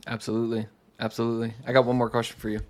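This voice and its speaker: Man interviewer, flat monotone, quick speech, short agreeing replies. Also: medium pitch. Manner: brief back-channel agreement. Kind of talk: casual interview.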